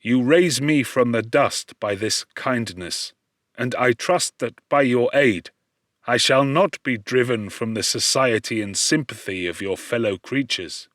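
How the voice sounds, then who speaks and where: A man's voice: mid-range voice, measured pace, a bit bright, no room sound, clean studio sound, natural voice. A man voicing a lonely, humble outcast creature in an audiobook, speaking formally with anxious, pleading earnestness. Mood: grateful. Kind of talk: audiobook character voice.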